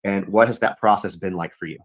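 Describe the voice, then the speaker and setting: A man's voice: sits low, fairly even intonation, brisk. A man hosting a livestream interview, choosing his words carefully and often restarting. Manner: hedging, careful wording, many restarts. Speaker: livestream show host. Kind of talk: interviewing a guest.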